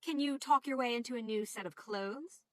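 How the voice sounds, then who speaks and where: Bright, wry actress as a scheming creature. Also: female voice, on the high side, normal speed, close to an everyday voice. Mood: approving, wry. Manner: dry, sardonic. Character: confident, wry female Asura schemer, brisk and teasing. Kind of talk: video game voice acting.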